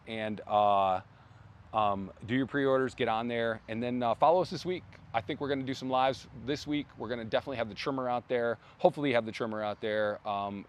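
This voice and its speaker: A man hosting a casual brand livestream outdoors, rambling with many fillers and restarts, his voice going hoarse. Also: fairly low pitch. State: losing his voice. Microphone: phone.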